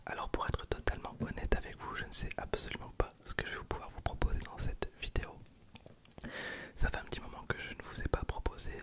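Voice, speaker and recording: An ASMR host speaking in a soft, breathy whisper, chatting casually and informally to viewers in an unscripted, rambling way. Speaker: host of an ASMR card-opening video channel.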